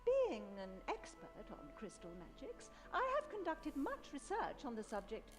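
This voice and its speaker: A woman voicing a poised, analytical female wizard in a video game, with measured, formal and precise speech. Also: high-pitched, huge pitch swings, soft background music. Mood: measured, cautionary. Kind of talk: scripted video game voice acting.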